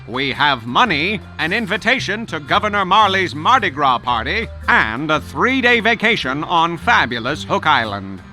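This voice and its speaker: An actor playing a polite roulette operator in a cartoon adventure game, with formal huckster's patter. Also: male voice, fairly high pitch, big pitch swings, normal speed, sharp tone, heavily acted. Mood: sales patter. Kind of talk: animated adventure game dialogue. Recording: game music behind the voice.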